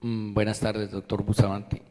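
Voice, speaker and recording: A man, an audience member asking a question at an academic conference, pausing often as he searches for words. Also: fairly low pitch, completely flat delivery, dark tone, in a large hall. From Colombia. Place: university auditorium.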